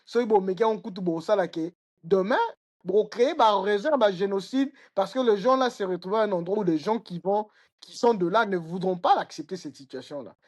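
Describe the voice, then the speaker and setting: A man's voice: high voice, fairly quick, a little mellow. A man, hosting and asking a long, rambling question with hesitant restarts. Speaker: podcast host. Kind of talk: conversational interview questioning.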